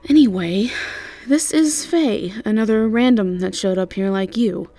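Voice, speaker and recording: A woman voicing a brisk, chatty, easily irritated witch innkeeper in an audio drama, speaking casually with hesitations and swearing. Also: mid-range voice, dark tone, no echo, voice pushed up, close to an everyday voice, low-quality recording. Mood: upbeat, casual. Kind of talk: audio drama character acting.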